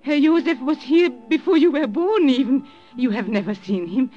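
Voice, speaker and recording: An anxious, motherly old German grandmother in an old-time radio drama. She speaks with a German accent in a halting, placating way, and the recording is dull and muffled. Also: sits high, lively intonation. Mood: nervous. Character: anxious, kindly old German grandmother who runs a bakery. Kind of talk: 1950s radio drama acting.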